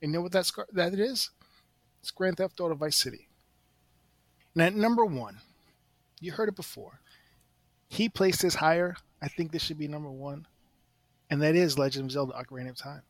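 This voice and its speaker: A man's voice: a little high, fairly bright tone, no room sound. A man chatting casually on a panel podcast, laying out points in emphatic, repeated phrases. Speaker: podcast contestant presenting a ranked list.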